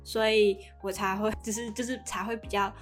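A woman's voice: medium pitch, little pitch movement, a little fast. A woman in her early twenties with a Taiwanese Mandarin accent co-hosts a casual, explanatory podcast, drawing out the particles at the ends of her phrases. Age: early 20s. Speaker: podcast co-host. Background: background music.

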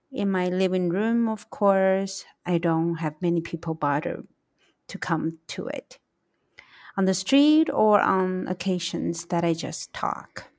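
A middle-aged woman with a Taiwanese accent hosting a solo reflective podcast, speaking in a deliberate, emphatic way. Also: medium pitch, a little mellow. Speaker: podcast host, English teacher.

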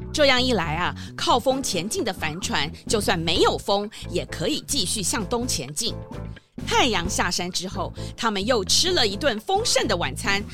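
A woman narrating a children's audio story, expressive, drawing out particles at phrase ends. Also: medium pitch. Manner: expressive, with drawn-out sentence particles. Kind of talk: animated storytelling for young children.

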